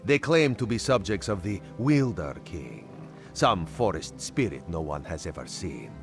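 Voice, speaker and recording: A sly cat-folk agent for a fantasy video game. The voice sits deep and moves with lively swings, genial and theatrical, loyal to his queen. Soft music plays behind him. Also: full character voice. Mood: genial, intrigued. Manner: speaks of himself in third person, measured and theatrical. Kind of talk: video game character dialogue.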